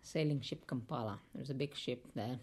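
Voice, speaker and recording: A man, recalling his life story as an oral history interviewee, speaking haltingly with many pauses and fillers. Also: medium pitch, darkish tone. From India. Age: 50s.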